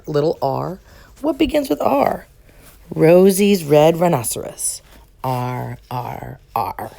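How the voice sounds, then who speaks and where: A woman reading a children's alphabet picture book aloud in a deliberate, sing-song manner, as a storybook reader. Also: low-pitched, mellow. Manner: deliberate, sing-song phrasing with questions and repeated letters.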